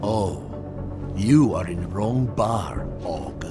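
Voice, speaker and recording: An actor playing a gruff, distrustful hacker in a video game, speaking broken English. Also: male voice, low voice, lively intonation, drawn-out words, exaggerated voice. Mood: surly. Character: surly, distrustful male hacker in a bar. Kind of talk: video game cutscene acting. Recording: music behind. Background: music.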